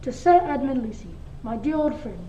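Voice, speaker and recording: A woman's voice: sits high, brisk, dark tone, a little hiss or hum, lightly acted. Teen girl doing a stiff formal lawyer reading a will, distant dull roomy recording, school radio play. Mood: formal, composed. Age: teenager.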